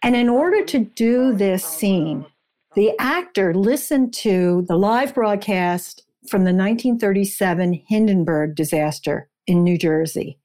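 A woman with a mid-pitched voice talks at a slow pace. She sounds like an author being interviewed, explaining conversationally in deliberate, short phrases. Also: expressive, warm tone.

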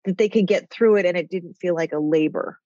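A woman's voice: lowish voice, fairly quick, mellow, dry recording, dull audio. A woman guest in a casual interview, talking in a rambling way with a nasal voice. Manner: rambling, chatty. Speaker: author and executive guest.

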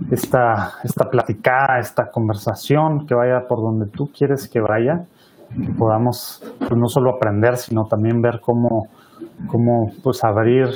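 A man's voice: mid-range voice, a little mellow, slight background noise, poor audio. Male panel host, casual and filler-heavy, video-call sound. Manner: chatty, colloquial, many fillers. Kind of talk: casual moderating and prayer.

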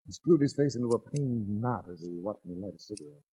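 A suave American private eye from a 1940s radio serial. His low voice is mellow and a little husky, and he narrates and banters with dry, wisecracking ease. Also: expressive, fast. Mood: wry, smooth. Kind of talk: 1940s radio detective acting, first-person narration. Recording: muffled 1949 radio recording.

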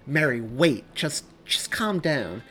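A man's voice: mid-range voice, very animated, moderate pace, fairly bright tone, slight background noise. A man voicing an exasperated, defensive young man with a sarcastic edge in dramatized audiobook dialogue. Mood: pleading.

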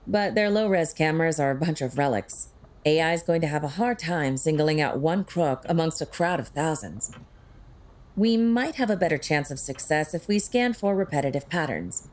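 A woman's voice: low voice, darkish tone. A woman playing a witty, sarcastic crime-fighting tech expert in an audio drama, with a wry manner. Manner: wry, sarcastic, quick-witted.

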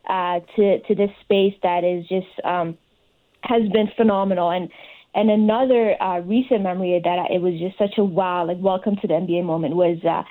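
A woman's voice: fairly low pitch, fairly even intonation, normal speed, phone-quality sound. A young adult woman speaking as an interview guest, giving conversational answers. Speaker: interview guest, sports fellowship participant.

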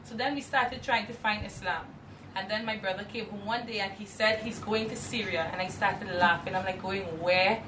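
A woman's voice: medium pitch, steady tone, normal speed. A woman from Trinidad, chatting casually in an interview and filling her talk with "you know". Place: inside a trailer. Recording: roomy, far from mic, noisy.

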